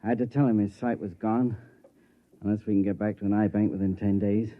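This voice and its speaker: A tinny, phone-like vintage radio recording of a weary ship's doctor, played by a man. He has a fairly low voice and speaks frankly, with grave, wry delivery. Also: steady tone, a little fast, natural voice. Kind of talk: 1950s radio drama acting.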